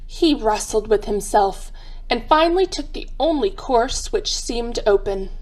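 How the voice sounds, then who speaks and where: A woman's voice: fairly high pitch, animated, measured pace, low-quality recording. A woman playing a knowing, dry narrator of a radio crime drama. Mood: measured. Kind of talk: scripted old-time radio narration.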